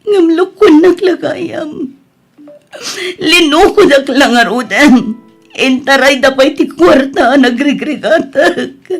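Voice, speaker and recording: A woman acts out a heartbroken Ilocano wife and mother in an audio drama. Her voice is high and her delivery is slow, drawn out with tearful, grieving pleading. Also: lively intonation, faint music behind, full character voice. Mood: anguished, tearful.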